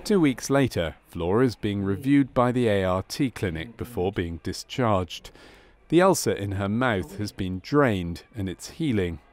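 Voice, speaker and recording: A man reading scripted documentary narration about a medical case in a measured, clinical manner. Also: fairly low pitch, expressive.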